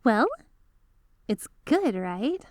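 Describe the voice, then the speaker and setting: A woman's voice: fairly high pitch, sing-song, drawn-out words, dry recording, studio quality, full character voice. A woman voicing a yandere veteran magical girl, an obsessive, lovestruck teacher, in an ASMR roleplay audio drama with a coaxing, confiding manner. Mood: coaxing, evasive.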